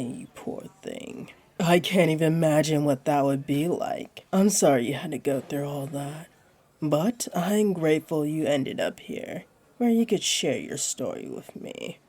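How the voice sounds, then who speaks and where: A woman voicing a flirty, comforting female jaguar beastling in a roleplay audio, with a deep, mellow voice and a teasing, soothing manner. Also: slightly rough, full character voice. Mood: sympathetic, comforting.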